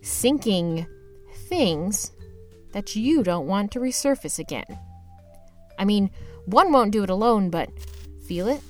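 A woman playing a scrappy, broke young mover who tells their misadventures in an expressive, slangy, playfully dramatic comedy audio-drama style. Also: mid-range voice, slow, long pauses, soft background music. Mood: salesy, cheeky. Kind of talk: first-person narrated comedic audio drama with acted dialogue.

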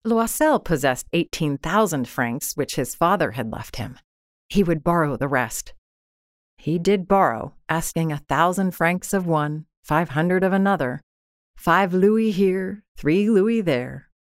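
A woman narrating a literary short story in a measured, expressive, scripted style. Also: fairly low pitch, dry recording, studio quality.

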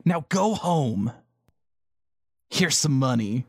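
A man's voice: medium pitch, lively intonation, slow, a little mellow, breathy edge, no room sound, clean studio sound, heavily acted. A man voicing a cool, dismissive young woman pirate thief with curt delivery in an amateur manga reading. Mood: cold, dismissive.

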